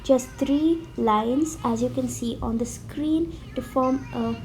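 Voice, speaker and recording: A woman with a slightly sick-sounding voice, explaining a drawing tutorial step by step in a friendly, relaxed way. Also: high-pitched, slow pace. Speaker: art tutorial host. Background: faint background music.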